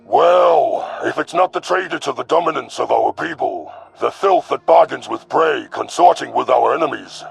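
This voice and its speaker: A man voicing a cruel, arrogant young alien predator commander in an audio story, with a growling, gravelly voice and haughty, formal menace. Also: mid-range voice, animated, normal speed, hardly any pauses, soft background music, pitched above the performer's own voice. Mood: sneering contempt.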